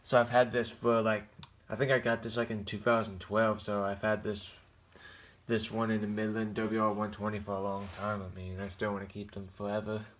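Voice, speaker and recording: An American man giving a casual show-and-tell in a halting, rambling, plain-spoken way. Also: on the low side, level tone. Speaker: hobbyist vlogger showing a radio collection.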